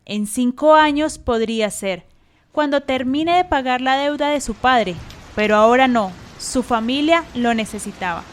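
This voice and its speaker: High-voiced woman narrating a radio drama. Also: moderate pace. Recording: faint rain-like hiss.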